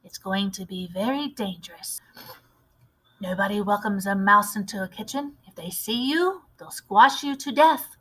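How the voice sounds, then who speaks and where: Lady reading as a fond old grandma from a storybook, shrewd and careful, measured, bright clear-cut voice. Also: medium pitch, low-quality recording. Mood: cautious. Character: loving, shrewd old grandmother, cautious and encouraging.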